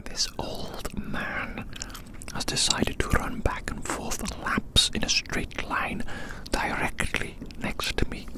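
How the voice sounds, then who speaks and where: An ASMR performer whispering a rambling, theatrical roleplay monologue outdoors, softly repeating phrases in wordy language. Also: slow, rough recording. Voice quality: soft unvoiced whisper. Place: outdoors in a large open field.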